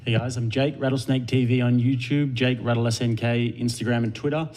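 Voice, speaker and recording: A man, introducing himself as a podcast guest in a relaxed, joking way. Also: mid-range voice, a little fast, heavy background noise. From Australia. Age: 20s. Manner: relaxed, jokey self-introduction.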